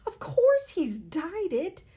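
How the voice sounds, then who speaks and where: A woman voicing a loud, scornful mother who shrieks at her husband in a storybook reading. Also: very high voice, wildly swooping pitch, takes it slowly, pitched above the performer's own voice, heavily acted. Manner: shrieking, stammering with outrage. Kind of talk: read-aloud storybook acting.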